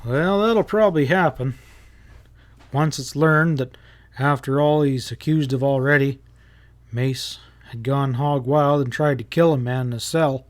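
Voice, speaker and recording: A man's voice: medium pitch, unhurried, close to an everyday voice. A man voicing a bold cowboy lawman in a western story, snapping out commands. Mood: coolly damning.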